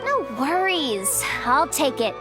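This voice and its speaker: A woman's voice: sits high, big pitch swings, takes it slowly, music in the background, heavily acted. An actress playing a breezy, self-assured, streetwise young woman in a video game, talking in a casual, teasing, slangy way. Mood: teasing, laid-back. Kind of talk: video game character acting.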